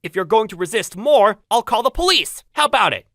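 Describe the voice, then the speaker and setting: A woman voicing an earnest, protective young male baker in a manga dub, sincere and steady. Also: on the high side, fairly quick, dry recording, clean studio sound. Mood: firm. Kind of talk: manga dub dialogue and narration.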